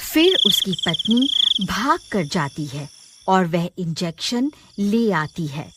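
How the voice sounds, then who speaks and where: A woman's voice: fairly low pitch, very animated, slow pace, heavy background noise. A woman narrating a scripted moral story as a storyteller.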